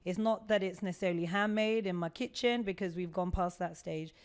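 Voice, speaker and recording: A woman's voice: lowish voice, brisk, clear, resonant tone. A woman from the United Kingdom, a skincare entrepreneur, talking animatedly as a guest in a casual interview. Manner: animated and talkative, storytelling.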